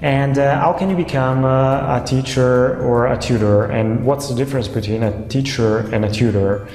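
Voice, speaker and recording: Young Italian man, casual vlog talk, halting with fillers; mellow tone, roomy echo. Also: medium pitch, fairly even intonation. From Italy. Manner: hesitant, many "uh" fillers. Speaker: online language tutor. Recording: echoey room with long reverb.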